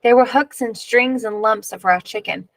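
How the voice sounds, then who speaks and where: A woman reading a children's picture book aloud in measured, expressive narration, drawing out key words. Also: fairly high pitch, dry recording.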